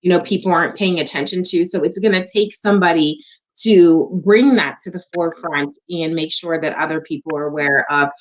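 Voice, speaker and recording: A woman's voice: on the low side, a little fast. A woman hosting an interview, affirming and encouraging her guest, her audio slightly muffled. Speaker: interview host. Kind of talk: casual interview.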